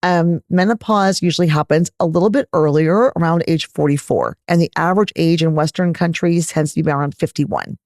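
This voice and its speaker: A middle-aged woman with a Texas accent, a gynecologist answering interview questions in an animated, candid, emphatic way. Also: low voice, fairly quick, hardly any pauses, no room sound, studio quality. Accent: Texan. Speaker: gynecologist guest.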